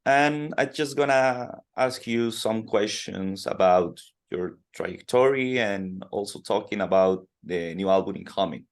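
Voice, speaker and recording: A man from Colombia interviewing a musician, asking slow, halting questions full of fillers. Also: on the low side. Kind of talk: podcast interview in second language.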